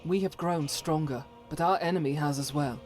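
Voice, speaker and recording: A woman's voice: deep voice, moderate pace, over music, lightly acted. A woman playing a devout, stern warrior companion in a fantasy game, speaking solemnly in formal, archaic phrases. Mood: resolute.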